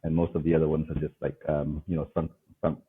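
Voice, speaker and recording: A man's voice: extremely low pitch, little pitch movement, fast, husky. A man from Malaysia, a diving instructor, answering interview questions casually and hesitantly with many fillers and restarts. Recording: phone-like call audio.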